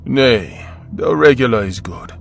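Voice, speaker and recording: An actor playing a tired, protective widowed father who speaks in a calm, measured way. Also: mid-range voice, gravelly, soft background music. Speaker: narrator's father. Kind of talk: story narration dialogue.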